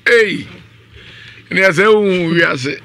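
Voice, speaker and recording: A man's voice: sits high, takes it slowly, sharp tone, slight background noise. A middle-aged Ghanaian man hosting a live radio show, speaking close to a studio microphone. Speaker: radio host. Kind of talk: live radio talk show hosting with ad-libbed sponsor reads. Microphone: studio condenser mic on boom arm, up close.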